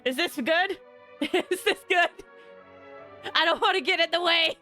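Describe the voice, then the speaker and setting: A woman game streamer reacting live in an excitable, gushing way, often repeating her phrases. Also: very high voice, unhurried. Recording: game music behind the voice.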